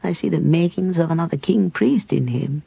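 Old dying cleric played by a man, lifted and slow, gentle and breathy, dark tone, for a fantasy audiobook. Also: a little high, dry recording, muffled recording, heavily acted. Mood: reflective. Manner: slow, lilting and tender, with soft whispery breaks.

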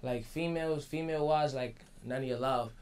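A teenage man from the Bronx, a rapper guest answering in a laid-back, slangy, halting way in a radio studio. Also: mid-range voice, a little mellow. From the Bronx, New York. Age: teens. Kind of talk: casual interview. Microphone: studio mic on stand.

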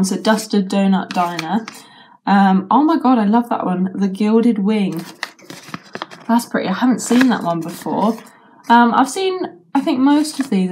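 A young woman with a British accent and a croaky voice from a sore throat, chatting casually while unboxing a toy, with lots of ums and likes. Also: mid-range voice, darkish tone, a little hiss or hum. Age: young adult. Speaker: toy reviewer. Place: bedroom with toy shelves, indoors.

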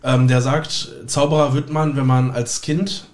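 A man's voice: mid-range voice, flat delivery, a little slow. A man in his twenties from North Rhine-Westphalia, Germany, talking casually as a podcast guest. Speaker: podcast guest, stage magician. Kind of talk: casual chat.

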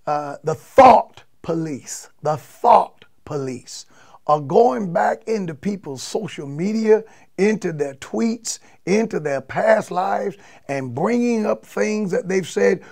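An older male bishop speaks in a high, gravelly voice, raspy and a little under the weather. He preaches emphatically from his desk, building to forceful peaks. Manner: emphatic, animated hand gestures. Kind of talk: invitation to a church service, preaching. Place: home office study with bookshelves. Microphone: lapel mic, seated at desk.

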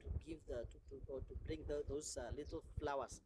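A man working as a safari guide, explaining informally and haltingly, repeating words as he goes. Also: a little high, fairly even intonation. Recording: noisy outdoor location sound. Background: clear background noise.

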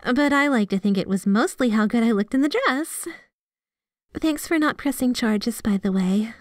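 A woman's voice: on the high side, moderate pace, dry recording, clean studio sound, natural voice. A woman playing a sweet, devoted, jealous yandere girlfriend in an ASMR roleplay, speaking with affectionate, playfully possessive manner. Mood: fondly nostalgic. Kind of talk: ASMR roleplay, scripted one-sided conversation.

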